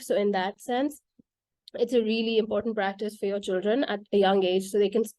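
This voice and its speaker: A woman's voice: medium pitch, a little mellow, no echo. A woman whose first language is Urdu, earnestly explaining why art matters for children, with frequent "like" and "right?" fillers. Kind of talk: casual explanatory talk.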